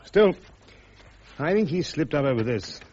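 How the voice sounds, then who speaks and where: A man playing a defiant, sharp British army major with a clipped, ironic English manner in a radio drama. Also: a little high, very animated, unhurried. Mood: dry, confiding. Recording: dull, muffled radio drama recording.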